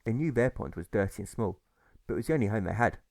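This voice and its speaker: A man narrates an audiobook fable in a measured, deliberate way. His voice is fairly low with noticeable creak, and the recording is dry. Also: lively intonation, darkish tone, no echo. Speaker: author reading his own audiobook. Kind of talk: scripted narration of a rhyming fable.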